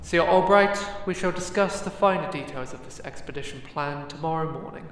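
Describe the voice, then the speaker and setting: A woman's voice: low-pitched, fairly quick, very echoey, big-room sound, slight background noise. A woman playing a solemn, imperious leader of a ruling council in a fantasy audio drama, with formal, ceremonious, declamatory delivery. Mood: solemn, official.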